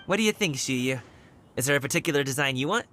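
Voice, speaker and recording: An actress voicing a gentle, thoughtful woman who speaks softly and kindly. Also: very deep voice, expressive, fast, slight background noise.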